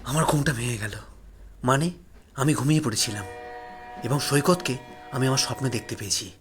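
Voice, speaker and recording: A man's voice: medium pitch, fairly quick, over music. A man narrating a horror story in the first person, solemn, dramatic and emotive.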